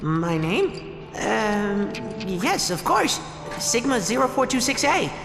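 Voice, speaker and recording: A jittery, apologetic young robot caretaker from a video game. The voice is high and leaps about excitedly, ringing in a big echoey hall under very loud music. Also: male voice, slow, creaky, full character voice. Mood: flustered, eager. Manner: flustered, apologetic, stammering. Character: nervous, fussy young robot junior caretaker. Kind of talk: video game cutscene acting.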